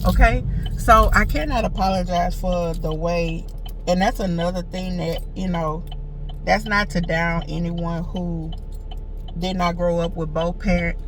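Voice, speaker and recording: A woman's voice: lowish voice, steady tone, a little slow, recorded from a distance. A woman, chatting casually and sassily to her viewers as a vlogger. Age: 40s. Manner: chatty, sassy, talks straight to viewers. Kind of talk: casual storytelling chat. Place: in a car.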